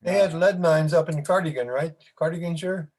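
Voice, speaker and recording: A man's voice: on the high side, normal speed, darkish tone. A man chipping into a casual group discussion on a video call. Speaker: panel participant. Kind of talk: casual discussion, spelling out names.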